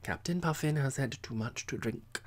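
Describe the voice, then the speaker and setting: A man voicing a prim, sharp-tempered middle-aged woman in an audiobook, speaking with dignified, distinct words. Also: medium pitch, moderate pace, voice pushed up, natural voice. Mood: indignant. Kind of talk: audiobook character voice.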